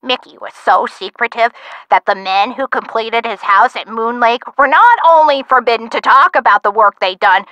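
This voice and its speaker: A woman's voice: on the high side, moderate pace, no echo, lo-fi sound, natural voice. An actress playing a feisty older woman, a gangster's chatty former sweetheart in a video game, with theatrical, folksy old-time slang. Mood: gossipy, reminiscing. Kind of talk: video game character dialogue.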